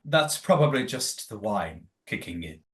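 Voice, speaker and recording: A man's voice: fairly low pitch, very animated, unhurried. A man playing a tipsy, genteel manor host in an audio play, speaking in a formal, droll way. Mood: dismissive, reassuring.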